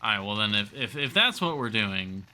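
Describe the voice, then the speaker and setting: A man's voice: on the low side, big pitch swings, normal speed, pushed and bright. A man livestreaming a survival game with casual commentary, thinking aloud and chatting to viewers. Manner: thinks aloud, talks to chat.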